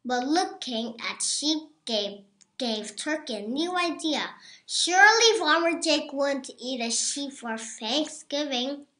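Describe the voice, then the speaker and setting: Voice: sits high, expressive, slow, bright, forceful tone. A six-year-old child reading a picture book aloud, word by careful word. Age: child, six years old. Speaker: young storybook reader. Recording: roomy echo.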